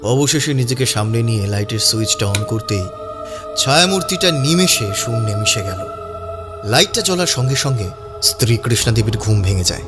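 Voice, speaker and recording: Male narrator, scripted story reading, mid-pitched voice, prominent background music. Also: lively intonation, normal speed.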